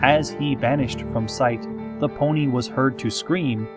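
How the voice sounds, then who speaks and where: Deadpan, ominously polite male radio host, acted. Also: medium pitch, lightly acted. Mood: calm, ominous deadpan. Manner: deadpan, measured, formal, with drawn-out dramatic phrases. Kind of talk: scripted radio show narration, parody horror-comedy podcast. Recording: music playing behind the voice. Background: soft guitar music.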